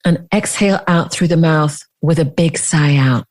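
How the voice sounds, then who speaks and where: A woman leading a guided meditation as a teacher, speaking in deliberate phrases with long pauses. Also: low voice, darkish tone.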